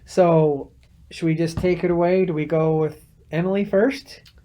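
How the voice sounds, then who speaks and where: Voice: mid-range voice, fairly even intonation, a little slow, warm tone. Young host, casual self-correcting intro. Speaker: podcast host. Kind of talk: casual podcast hosting.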